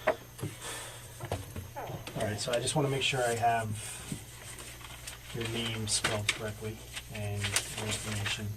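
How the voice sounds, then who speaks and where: Male detective, lowish voice, methodical halting questioning, noisy room recording from far off. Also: flat delivery. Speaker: police detective. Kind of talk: procedural interview questioning. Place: indoor police interview room.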